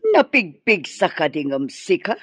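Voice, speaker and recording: A woman's voice: on the low side, very animated, unhurried, breathy edge, no echo, exaggerated voice. An actress playing a sceptical, blunt, inquisitive older woman in an Ilocano radio drama. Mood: concerned, questioning.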